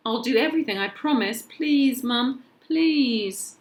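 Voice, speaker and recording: Woman acting young British boy, raised pitch, excitable, pleading, eager, storybook character. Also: unhurried.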